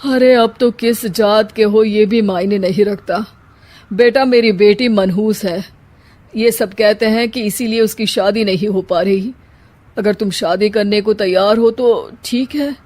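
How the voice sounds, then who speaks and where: A woman's voice: medium pitch, steady tone, a little slow, darkish tone, lightly acted. A woman voicing a weary middle-aged father in a cartoon story, resigned and lamenting. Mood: weary, despairing.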